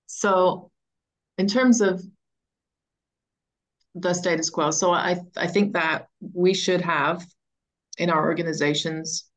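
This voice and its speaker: Female expert guest, somewhat low-pitched, conversational, deliberate and explanatory delivery. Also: low-quality recording. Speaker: interview guest, education author and coach.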